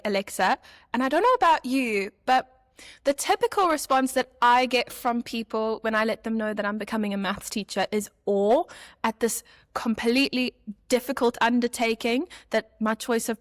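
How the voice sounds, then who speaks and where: An upbeat woman in her twenties from South Africa co-hosts a podcast, her voice sitting a bit high. She reads her lines with a lively, scripted flow, and the recording is dry and close with no room sound. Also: dry recording. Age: 20s. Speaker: podcast co-host.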